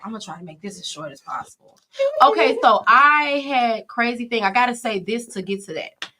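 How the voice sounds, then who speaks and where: A woman's voice: on the high side, expressive, fairly quick, pushed and bright, a little hiss or hum. Chatty young woman singer on radio. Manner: chatty, explains at length, laughs easily. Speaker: guest singer. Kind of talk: casual radio interview chat. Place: radio studio.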